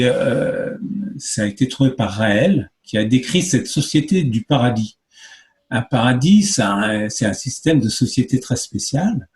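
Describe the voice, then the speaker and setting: Interview guest, man in his fifties from France, hesitant earnest delivery, mid-pitched voice. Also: darkish tone. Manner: hesitant, many fillers, earnest explaining. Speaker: guest, movement spokesman. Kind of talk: conversational interview answers.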